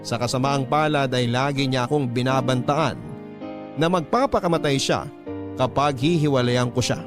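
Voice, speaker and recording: A man's voice: mid-range voice, moderate pace. Man narrating radio drama over prominent music. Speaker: narrator reading a listener's letter.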